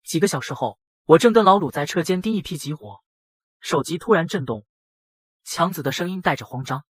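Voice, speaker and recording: A man voicing a brash young factory boss who narrates his own dramatic motion-comic story in a husky voice. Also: on the high side, lively intonation, brisk, dry recording. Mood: tense narrating. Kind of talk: dramatic first-person scripted narration.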